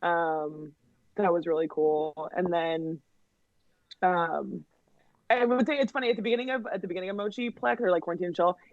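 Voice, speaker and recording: A woman's voice: lowish voice, fairly even intonation, normal speed, no room sound, phone-quality sound. A woman talking as a podcast guest in casual chat, with many fillers and restarts and frequent laughter.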